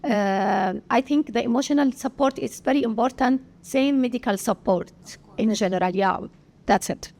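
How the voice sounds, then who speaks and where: A woman from Syria with an Arabic accent, a pediatrician answering interview questions haltingly, with many pauses and filler sounds. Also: on the high side, darkish tone. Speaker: pediatrician guest.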